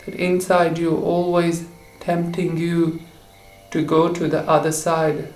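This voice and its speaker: A man guiding a meditation for relaxation and sleep, speaking in short, halting phrases. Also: on the high side, monotone. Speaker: meditation guide. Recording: roomy echo with faint insect noise.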